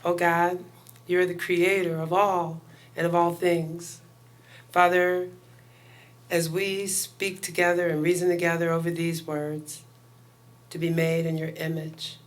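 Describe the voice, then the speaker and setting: A woman guest pastor with a loud voice giving a chatty sermon and prayer to a congregation, laughing often between lines. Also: on the low side, level tone, unhurried, pauses often. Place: house of worship.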